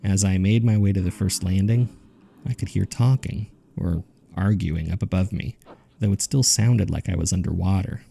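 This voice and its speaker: A low-voiced man with a heavy gravelly creak tells his horror story as a haunted survivor. He speaks gravely and deliberately, in a dark, subdued tone, like a scripted audio drama narrator. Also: exaggerated voice. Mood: shaken, haunted. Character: haunted man recounting a terrifying ordeal.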